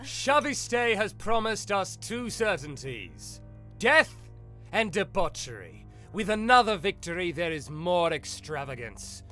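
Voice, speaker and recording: A man's voice: high voice, sing-song, takes it slowly, bright, forceful tone, soft background music, exaggerated voice. A man playing a boastful, flamboyant alien reveller in an audio drama, proclaiming in a grand, declamatory way.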